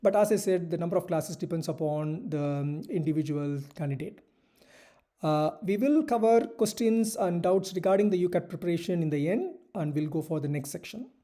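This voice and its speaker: A doctor tutoring students for medical school entrance exams, explaining a course in a measured online presentation with hesitant restarts. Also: medium pitch, dark tone.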